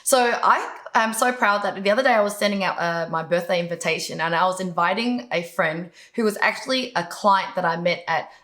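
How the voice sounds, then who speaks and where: A woman's voice: lowish voice, fairly quick, few pauses, a bit bright, roomy sound. Chatty woman from Vietnam. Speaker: host, business coach. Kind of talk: casual interview answers.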